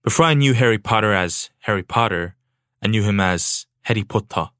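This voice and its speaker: A young man from South Korea, a native Korean speaker, reading a steady, reflective personal essay as a podcast producer. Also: lowish voice, fairly quick, clear, resonant tone, no echo, clean studio sound.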